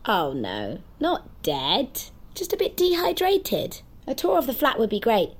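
A quirky, guileless woman with a highish, very animated voice and a slow delivery. She sounds blunt and full of childlike wonder, in audio drama style. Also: full character voice. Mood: blunt, apologetic. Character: wide-eyed, quirky, guileless woman, curious about everything.

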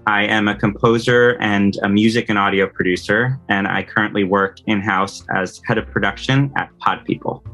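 A man from New York state talks as a podcast guest. His voice sits fairly low but has a bright, crisp edge. He explains things earnestly in a conversational style, with faint music underneath. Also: fairly even intonation, normal speed, few pauses. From New York state, United States. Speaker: podcast guest, composer and audio producer. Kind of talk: conversational interview.

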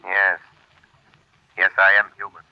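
An actor playing a calm, commanding invisible avenger in measured, grave 1930s radio drama style, through a telephone-like filter. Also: male voice, sits low. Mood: resolute. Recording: muffled vintage 1930s radio recording.

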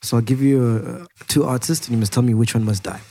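A man's voice: mid-range voice, fast talker, dark tone, a little hiss or hum. A young man hosting a podcast, chatting casually and teasing, often laughing and saying "bro". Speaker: podcast host.

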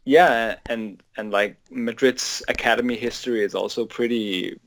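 A man's voice: mid-range voice, fairly even intonation, normal speed, poor audio. A man in his twenties from Denmark, a native Danish speaker, chatting casually in English as a podcast guest, hesitant and often repeating words. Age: 20s. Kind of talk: casual interview chat.

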